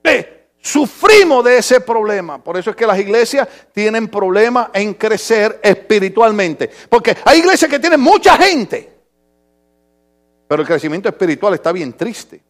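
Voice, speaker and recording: A man preaching an animated sermon to a congregation, theatrical and humorous, swinging between murmured asides and drawn-out shouts. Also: sits high. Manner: humorous, mimics people in dialogue.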